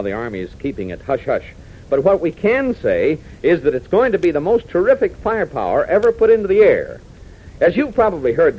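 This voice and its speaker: American male announcer, dramatic 1940s kids' radio serial delivery, very lively intonation, dull old recording. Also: on the high side, slightly rough. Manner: excitable, dramatic, addressing young listeners. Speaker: announcer and narrator.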